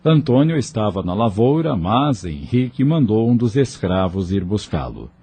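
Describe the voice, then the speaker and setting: Lowish-voiced male narrator with lively intonation. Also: normal speed, husky. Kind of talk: scripted audio novel narration.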